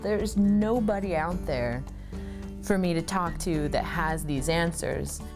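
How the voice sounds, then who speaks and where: A woman's voice: on the low side, slow pace, over music. A woman in her thirties, an artist, talking thoughtfully about her work in an interview, recorded on a lapel mic in her cluttered home studio. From United States.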